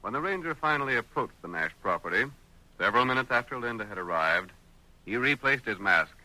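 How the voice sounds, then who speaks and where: A man's voice: medium pitch, animated, brisk, bright, forceful tone, no room sound, low-quality recording. A man with an American accent narrating an old-time radio western in a dramatic, scripted storytelling manner.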